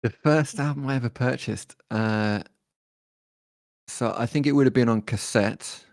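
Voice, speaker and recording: A man, talking as a guest musician in a relaxed interview, thoughtful and hesitant with many fillers and restarts. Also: mid-range voice, measured pace, gravelly, no room sound, studio quality. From born in Detroit, raised in London.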